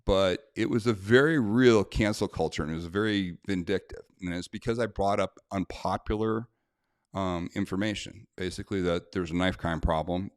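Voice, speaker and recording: Brief American man, deep voice, indignant straight talk, close dry mic. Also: no echo. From United States. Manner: blunt, indignant, conversational with many fillers. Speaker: self-defense instructor. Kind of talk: casual talk to camera, reading a letter aloud.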